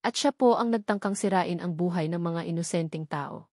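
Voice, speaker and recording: A woman's voice: lowish voice, normal speed, a little mellow, no echo, studio quality, lightly acted. A Filipino woman narrator voicing a young woman who makes accusations in a firm, formal and polite way in a story. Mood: firm accusing. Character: firm young female accuser exposing a villain.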